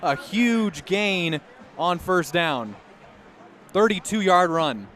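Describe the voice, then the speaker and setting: A man's voice: high voice, expressive, drawn-out words, a bit bright. An American man giving football analysis as a color commentator on a broadcast.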